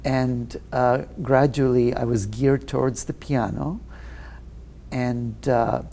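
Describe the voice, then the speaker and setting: Slow, gravelly man. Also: mid-range voice, fairly even intonation, dark tone, slight background noise. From Russia. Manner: slow, thoughtful, many hesitations. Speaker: guest pianist. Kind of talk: interview answers.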